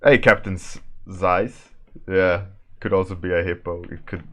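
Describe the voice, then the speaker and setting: A man's voice: low-pitched, fairly even intonation, unhurried, fairly bright tone. A man from Sweden with a Swedish accent, chatting casually on a stream while he draws, rambling with restarts and frequent laughs as he answers chat. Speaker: artist streaming a warmup session.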